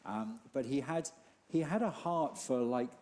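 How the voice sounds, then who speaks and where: A middle-aged man leading an interview in church, talking haltingly with many restarts. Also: medium pitch, darkish tone. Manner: hesitant, many restarts and fillers. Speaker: church leader hosting an interview. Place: church hall.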